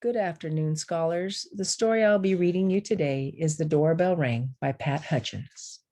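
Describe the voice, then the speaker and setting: A woman's voice: deep voice, moderate pace, warm tone. A woman reading a picture story aloud expressively for children. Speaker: storybook reader.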